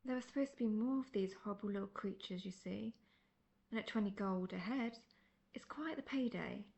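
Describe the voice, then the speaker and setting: A woman playing a seasoned, world-weary female monster hunter in a fantasy audio roleplay, speaking in a measured, formal, dryly condescending way. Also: mid-range voice, vocal fry, darkish tone, lightly acted. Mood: dry, wry.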